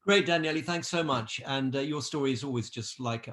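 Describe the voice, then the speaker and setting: A man's voice: medium pitch, fairly quick, a bit bright. A man hosting an online presentation in an informal, effusive and enthusiastic way. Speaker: host. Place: online group call.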